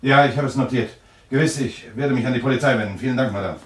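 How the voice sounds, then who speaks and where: An actor playing a skeptical, controlling journalist in a German radio thriller, with a deliberate, sardonic, interrogating manner. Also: male voice, mid-range voice, noisy background, lightly acted. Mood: brisk, businesslike. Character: skeptical, controlling male journalist, suspicious husband.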